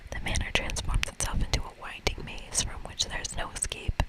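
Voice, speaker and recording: A woman whispering in a breathy, playful and casual way as she reads a video game aloud for ASMR. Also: normal speed. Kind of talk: whispered ASMR playthrough, reading dialogue and commenting.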